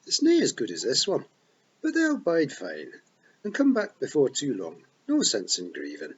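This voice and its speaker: A man voicing a boisterous tiny male pixie chief with a rough brogue, reading an audiobook. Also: medium pitch, huge pitch swings, normal speed, dull audio, pitched above the performer's own voice. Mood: cheerful. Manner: loud, rough, gleeful brogue.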